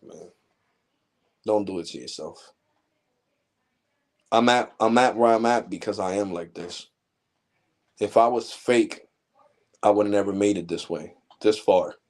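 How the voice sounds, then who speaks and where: A man's voice: medium pitch, darkish tone. A man in his forties hosting a tech channel, lecturing viewers in a blunt, heated, profane way during a casual livestream rant. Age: 40s. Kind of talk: casual ranting livestream monologue.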